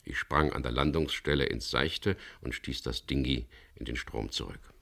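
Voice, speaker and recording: An actor playing a tough, wry male boat skipper who narrates a radio crime drama in a measured, laconic way. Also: a bass voice, gravelly, fairly bright tone, heavily acted. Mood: tense, matter-of-fact. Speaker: narrator and lead character.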